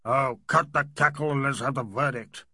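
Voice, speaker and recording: A man's voice: a little high, lively intonation, measured pace, pushed and bright, breathy edge, dry recording, clean studio sound, pitched above the performer's own voice, exaggerated voice. A man voicing a brusque, blustering British army major in an audiobook, with an impatient growl.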